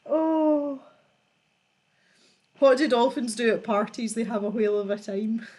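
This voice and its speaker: A woman's voice: on the high side, fairly even intonation, a little slow, dark tone. Giggly woman hosting a livestream. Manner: giggly, laughs often while reading. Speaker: livestream host. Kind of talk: casual livestream chat, reading viewer jokes.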